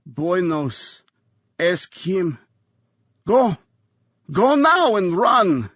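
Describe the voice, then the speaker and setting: A man voicing a dying foreign gunman in an audiobook thriller, with a heavy foreign accent and slow, halting, cryptic phrases. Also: sits high, big pitch swings, a bit bright, husky, no room sound, lo-fi sound, voice pushed up, exaggerated voice. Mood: labored, ominous.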